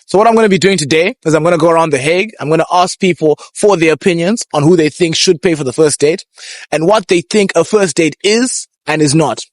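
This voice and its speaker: A man's voice: fairly high pitch, fast, no room sound. A man hosting casual street interviews outdoors, chatty and laughing often. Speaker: host.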